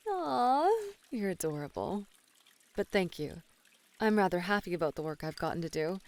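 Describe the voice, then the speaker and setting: A woman playing a flirty, playful bunny woman in an ASMR roleplay, teasing and coy with soft sighs. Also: lowish voice, lively intonation, a little slow. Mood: friendly, teasing. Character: flirty, playful bunny woman, a model relaxing in a hot spring. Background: pouring, trickling water.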